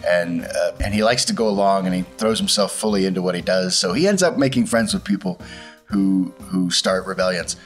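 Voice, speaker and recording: A man's voice: deep voice, a little fast, soft background music. A man, a tabletop game player chatting casually and feeling his way through his sentences. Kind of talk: casual chat.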